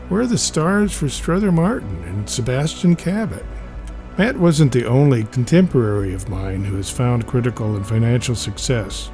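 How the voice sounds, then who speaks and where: A man's voice: mid-range voice, mellow. A man giving a scripted narration, reading a memoir-style comic aloud.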